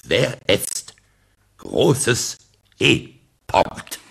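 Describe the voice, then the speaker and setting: Irascible old-school professor for radio comedy: creaky, slow, pedantic, blustering. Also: male voice, fairly low pitch, huge pitch swings, a bit bright, exaggerated voice. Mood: outraged. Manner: pedantic, halting, blustering when challenged. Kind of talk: German radio comedy drama acting.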